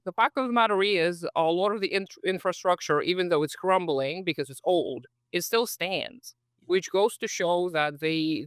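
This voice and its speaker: A man, speaking from home as a podcast guest in a relaxed, reflective way, with lots of "uh" and "so". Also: high-pitched, smooth, no echo. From Kazakhstan. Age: 30s. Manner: friendly, reflective storyteller with frequent "uh" and "so". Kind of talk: casual interview chat.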